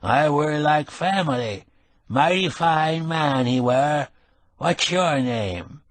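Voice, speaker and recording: A man voicing a frail old rural caretaker who speaks slowly in short, country-grammar sentences, with a nasal, juicy lisp. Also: on the high side, expressive, dry recording, pitched above the performer's own voice. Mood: fond, reminiscing. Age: older. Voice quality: nasal resonance and juicy, lisping sibilance.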